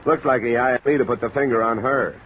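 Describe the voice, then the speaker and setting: A man's voice: medium pitch, brisk, highs cut off, slight background noise, lightly acted. An American man playing a tough, sardonic insurance investigator in a 1940s radio detective drama. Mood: irritated, sarcastic. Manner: hard-boiled, curt, sarcastic. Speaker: lead actor and narrator. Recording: old radio recording under steady rain sound.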